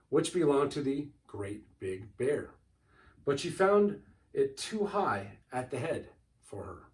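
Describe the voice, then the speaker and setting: A man reading a children's storybook aloud in a deliberate, expressive way, recorded from a distance. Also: mid-range voice. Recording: distant microphone.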